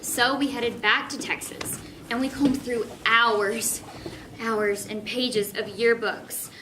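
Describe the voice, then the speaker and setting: An earnest, high-voiced young woman searching for her roots, played by a woman on stage. Her lines carry across an echoey room to a distant microphone. There is clear noise over the amateur play. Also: fairly even intonation, slow. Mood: eager. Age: 20s. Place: stage of a one-act play.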